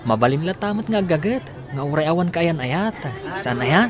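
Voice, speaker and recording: A man's voice: fairly high pitch, expressive, a little fast, crowd noise, close to an everyday voice. An easygoing, caring young big brother acted by a man in a radio drama. His intonation moves up and down a lot as he talks. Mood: sheepish. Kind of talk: Ilocano radio drama acting.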